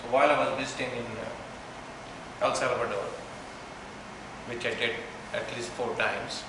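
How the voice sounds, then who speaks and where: A man speaks at a press conference in a heavily echoing room, with steady noise in the background. His delivery is earnest and halting, and he keeps circling back to rephrase his points. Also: medium pitch. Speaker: event organizer.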